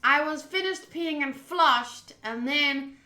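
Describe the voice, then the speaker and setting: A chatty, expressive woman, a native Afrikaans speaker, streaming a game with casual talk and reading aloud. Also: sits high, slow pace. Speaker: streamer playing a visual novel. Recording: roomy sound with music underneath. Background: game music.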